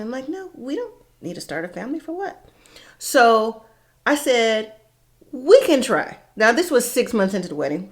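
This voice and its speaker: High-voiced American woman telling personal stories. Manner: animated, emphatic, acts out reported dialogue with rhetorical questions. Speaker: vlog host. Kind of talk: casual personal storytelling to viewers.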